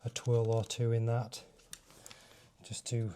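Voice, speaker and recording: A man's voice: medium pitch, monotone, slow pace, mellow, a little hiss or hum. A man giving a casual hands-on demonstration, thinking aloud informally as he works through each step. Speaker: motovlogger presenting a fitting tutorial.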